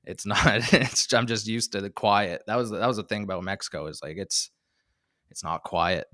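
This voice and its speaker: A young Canadian man with a Canadian accent and a lowish voice talks loosely about his life, trailing off into fillers and quick laughs. The recording is close and dry, with no room sound at all. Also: fairly even intonation, sharp tone, no room sound. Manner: laid-back, joking, laughs often, many fillers. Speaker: podcast guest, stand-up comedian. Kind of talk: casual chat.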